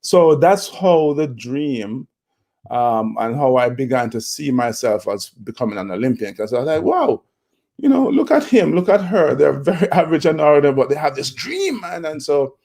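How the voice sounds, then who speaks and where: A Jamaican man in his fifties, a motivational speaker, telling his life story in a relaxed interview with many fillers and restarts. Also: medium pitch, normal speed, vocal fry. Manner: reflective, with frequent fillers and restarts.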